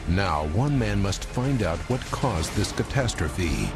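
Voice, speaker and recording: Deep-voiced American documentary narrator over loud music. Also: male voice, very animated, slow pace. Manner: grave, suspenseful. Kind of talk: dramatic scripted narration. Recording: loud dramatic music underneath. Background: dramatic score.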